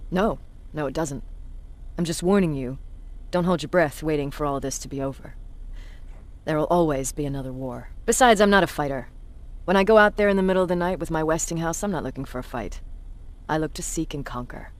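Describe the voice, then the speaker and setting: An actress playing a cynical, blunt resistance commander in a video game, with a measured, sardonic delivery. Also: female voice, low voice, natural voice. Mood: cynical, probing. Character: hard, cynical female resistance military commander, self-declared bully.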